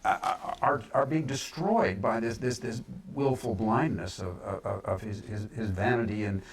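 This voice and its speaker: A man speaking as a pundit in a political discussion, emphatic but halting, often repeating words as he builds his point. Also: fairly low pitch. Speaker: panel pundit.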